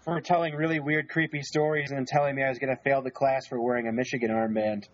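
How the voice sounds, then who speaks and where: A man's voice: medium pitch, flat delivery, fast talker. Dry-talking man, muffled lo-fi sound. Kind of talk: casual podcast chat. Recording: dull lo-fi sound.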